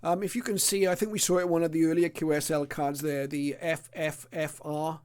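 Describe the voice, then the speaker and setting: Middle-aged or older man, slightly higher voice, casual presenter, unscripted, halting delivery. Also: little pitch movement, darkish tone, smooth. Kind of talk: casual illustrated hobby talk.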